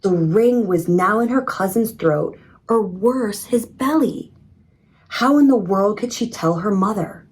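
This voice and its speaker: A woman reading a children's story in an expressive, measured storytelling manner. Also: mid-range voice. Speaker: storybook reader. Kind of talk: scripted children's story narration.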